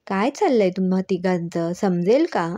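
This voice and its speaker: A woman's voice: lowish voice, expressive, moderate pace, mellow, no room sound. A woman storyteller voicing a shrewd middle-aged mother who questions in an insistent, worried, motherly way. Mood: annoyed.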